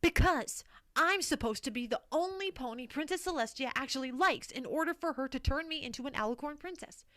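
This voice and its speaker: A woman voicing a vain, ambitious, entitled young unicorn student in an animated fan audio drama. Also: high voice, fairly quick. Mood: jealous, scornful. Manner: boastful, haughty.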